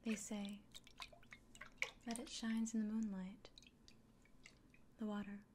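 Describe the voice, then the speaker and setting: Woman as a softly whispering, kindly elven innkeeper. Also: mid-range voice, little pitch movement, noisy background, natural voice. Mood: gentle, reverent. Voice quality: soft, breathy whisper. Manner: gentle, unhurried, reassuring. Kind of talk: whispered ASMR fantasy roleplay.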